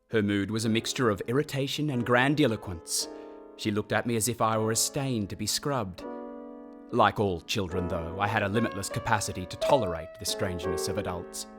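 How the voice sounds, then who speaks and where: A man's voice: fairly low pitch, normal speed, soft background music, natural voice. An actor playing a reflective man narrating memories of his boyhood in a radio play, wistful and literary. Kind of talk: scripted first-person narration.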